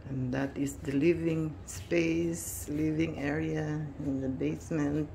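Casting: woman vlogger, slow hesitant home-tour talk, gravelly low voice, phone mic in a basement. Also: level tone, mellow, slight background noise. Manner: hesitant, many restarts and fillers. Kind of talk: casual home tour narration. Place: finished house basement, indoors.

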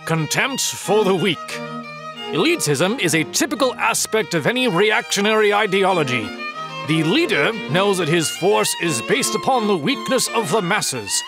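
High-voiced man narrating over music. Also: very animated, moderate pace. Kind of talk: scripted narration, solemn reading of numbered points.

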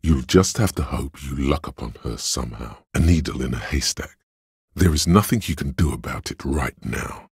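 A cool, analytical inner voice of logic from a video game. It is profoundly low and heavily husky, with crackling fry at the edges. The delivery is measured and matter-of-fact, reasoning things through deliberately. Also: male voice, expressive, a little mellow, dry recording, clean studio sound, exaggerated voice. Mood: dry, reasoning. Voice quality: gravelly, husky bass. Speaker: voice actor. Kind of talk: scripted game narration as an inner voice.

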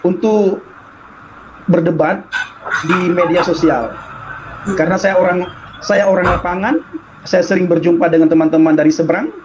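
A man's voice: sits high, steady tone, moderate pace, long pauses. A man, giving an earnest, repetitive personal testimony on a video call, restarting his sentences and often addressing the host. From Aceh, Indonesia. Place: small room indoors. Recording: dull lo-fi video call, faint background noise.

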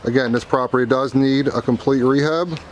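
A man's voice: medium pitch, fairly even intonation, a little fast. Man giving an echoey house tour. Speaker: real estate agent giving a house tour. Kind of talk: casual walk-through narration. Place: empty rooms of a house under renovation. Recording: echoey empty house, clear background noise.

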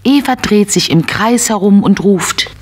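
A woman narrates a children's audio drama. Her medium-pitched voice delivers scripted storytelling for young listeners. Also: measured pace.

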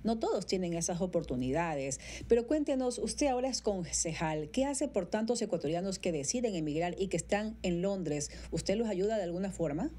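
A woman's voice: mid-range voice, lively intonation, moderate pace, hardly any pauses, dark tone, a little hiss or hum. A middle-aged woman conducting a broadcast news interview on a studio mic. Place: radio studio. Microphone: studio mic on boom arm, headphones.

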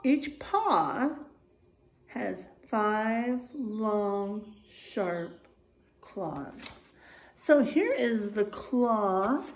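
A middle-aged woman, a kindergarten teacher reading a children's book aloud and pausing to explain things simply in a deliberate, expressive way. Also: mid-range voice. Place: indoors, in a room with drywall and posters.

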